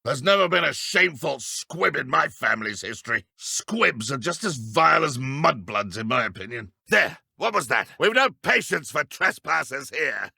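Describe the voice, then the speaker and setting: An actor playing a smug, cold-blooded dark wizard henchman in a video game, with a British accent and a haughty, menacing manner. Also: mid-range voice, very animated, moderate pace, gravelly, hardly any pauses, husky, no echo, studio quality, full character voice. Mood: cold, boastful, then alert, threatening. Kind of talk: video game character voice lines.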